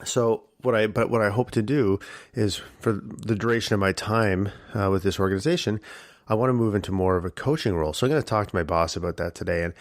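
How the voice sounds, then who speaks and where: A man hosting a casual solo podcast with a husky voice, reflective and rambling with many fillers. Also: on the low side, fast talker, no echo, studio quality. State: early-morning tired voice. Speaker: podcast host.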